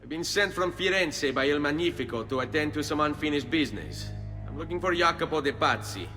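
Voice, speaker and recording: An actor playing a grave, determined young Italian assassin in a video game, with an Italian accent. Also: male voice, on the high side, lively intonation, brisk, bright, forceful tone, soft background music. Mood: businesslike, courteous. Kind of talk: dramatic video game acting.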